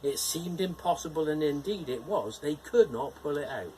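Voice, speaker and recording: A friendly, playful gnome, as a man voices him reads a bedtime fairytale. His fairly high voice swoops up and down with lively energy, and he slips in teasing jokes as he goes. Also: moderate pace, a little hiss or hum, lightly acted, low-quality recording. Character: cheerful male gnome storyteller, friendly and playful. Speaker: storyteller host.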